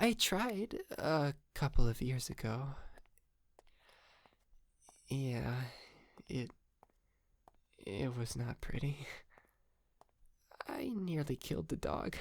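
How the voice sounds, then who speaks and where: A man playing a polite, sheepish, wealthy young yandere kidnapper in an ASMR roleplay, speaking softly and hesitantly with stammers and breathy whispered moments. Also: mid-range voice, animated, takes it slowly, pauses often. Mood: embarrassed.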